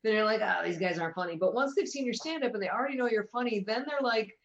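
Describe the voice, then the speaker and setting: Woman, improviser, casual interview chat, chatty, halting, slightly muffled recording. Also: a little high. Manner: chatty, halting restarts, laughs often. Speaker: guest improviser.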